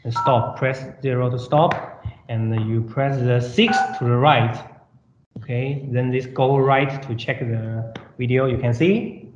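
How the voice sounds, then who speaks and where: Male product presenter, slow and halting non-native English with repeats; dull, echoey room recording with faint noise. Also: mid-range voice, steady tone. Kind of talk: live product demonstration. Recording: roomy echo, dull sound, faint noise.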